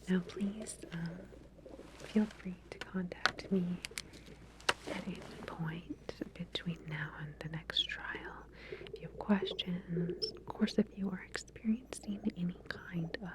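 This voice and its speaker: Female ASMR performer, low-pitched soft whisper, playing an attentive, reassuring lab scientist in a one-to-one roleplay. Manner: gentle, reassuring, professional as a lab researcher. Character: calm, attentive female scientist running a medical experiment.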